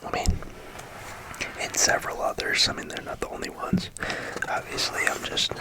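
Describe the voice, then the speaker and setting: A host whispering breathily in a casual, rambling ASMR style, playfully muttering and repeating phrases while putting something together. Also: normal speed. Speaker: host of a build-along video.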